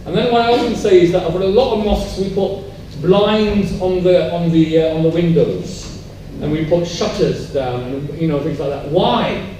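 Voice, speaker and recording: A man's voice: fairly high pitch, moderate pace, mellow. A man speaking on a panel at a public discussion, emphatic and repeating phrases for stress. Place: public event hall. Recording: very echoey hall, distant mic, faint noise.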